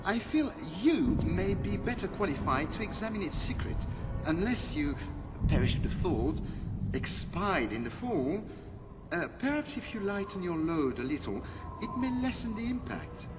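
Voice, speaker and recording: Sly, high-pitched villain, cavernous reverb. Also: male voice, sing-song, moderate pace. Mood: smug, scheming. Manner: oily, wheedling, mock-courteous. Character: sly, cowardly, pleading villainous male rival treasure hunter. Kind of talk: video game cutscene acting. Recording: long cavernous reverb under music.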